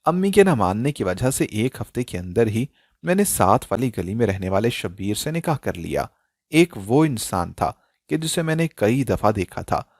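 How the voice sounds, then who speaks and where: A man narrating a scripted emotional story in a dramatic, expressive style. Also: mid-range voice, no echo, clean studio sound.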